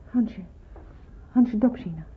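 An actress playing an anxious young nurse in a wartime radio play, hesitant and murmuring to herself. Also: female voice, on the high side, slow pace, breathy edge, heavily acted. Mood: meek. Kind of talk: Dutch radio play acting. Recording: noisy narrow-band old radio play recording.

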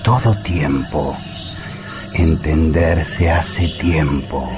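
Very slow, deep old alien. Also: male voice, fairly even intonation, music in the background, full character voice. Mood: solemn, cryptic. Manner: halting broken syntax, solemn, fragmented phrases. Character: calm, friendly old alien, wise and cryptic. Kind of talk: radio drama acting.

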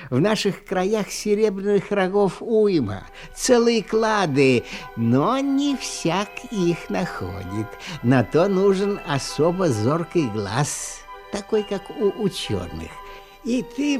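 Kindly grandpa storyteller for a children's radio play: unhurried, folksy, highly animated delivery. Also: male voice, heavily acted. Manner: folksy, drawn-out, dramatic storytelling with sighs and exclamations. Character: kindly old grandfather telling folk tales. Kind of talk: expressive fairy-tale radio play acting.

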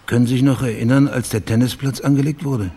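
An actor playing a calm private investigator in a radio crime drama, terse, polite and inquisitive. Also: male voice, mid-range voice, fast talker, slight background noise, natural voice. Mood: probing.

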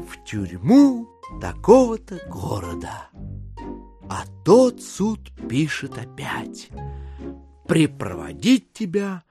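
An actor playing a sly, self-amused gentleman schemer who muses aloud theatrically in an audio drama. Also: fairly high pitch, full character voice.

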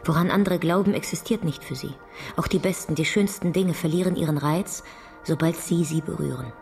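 A troubled lover in a radio play. Her voice is slightly low, earnest and searching, with faint music behind it. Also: fairly quick, vocal fry, darkish tone. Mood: probing, grave. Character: earnest, troubled woman caught in a draining love. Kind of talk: German radio drama acting.

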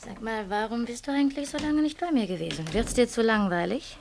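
An actress playing a bitter young wife with a sharp tongue and hidden passion in a German radio play. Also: female voice, on the high side, animated, a little fast, gravelly, a little hiss or hum. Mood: intimate reproach.

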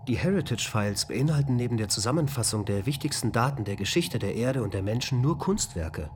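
Man voicing an archive computer, matter-of-fact, listing data, medium pitch. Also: fairly quick, slight background noise, close to an everyday voice. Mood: reporting. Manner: flat, listing, data-reading.

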